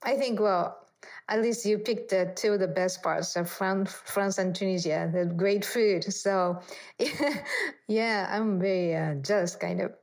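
A woman's voice: medium pitch, unhurried, a little mellow. A woman presenting as a podcast host, moving between a prepared introduction and conversational interview questions. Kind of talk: radio interview with scripted introduction.

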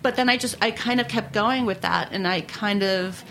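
A woman from Brooklyn, New York, talking candidly and conversationally as an interviewed baker, with animated, sweary storytelling. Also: mid-range voice, moderate pace, slight background noise. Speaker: interviewed baker and food writer.

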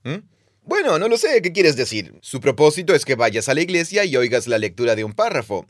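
A man talks through the history of reading scripture, explaining at length in a steady, mid-range voice. He often drops in quiet murmurs of agreement. The sound is close and dry, with no room around it. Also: medium pitch, brisk, no room sound. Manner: explanatory, thinks aloud with frequent agreeing murmurs. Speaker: podcast co-host, Bible teacher. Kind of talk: casual conversation.

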